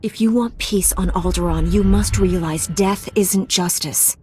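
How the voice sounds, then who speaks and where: A woman's voice: mid-range voice, unhurried, darkish tone, soft background music, lightly acted. Woman as composed, resolute heroine knight. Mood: calm, determined. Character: earnest, calm, determined female Jedi knight heroine. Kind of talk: video game cutscene acting.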